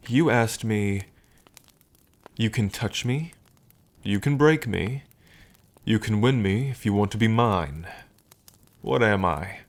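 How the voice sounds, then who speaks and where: A man playing a smitten, teasing dragon king in an intimate ASMR roleplay, with unhurried, murmuring pauses. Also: lowish voice. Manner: unhurried, murmuring pauses, hums and soft chuckles.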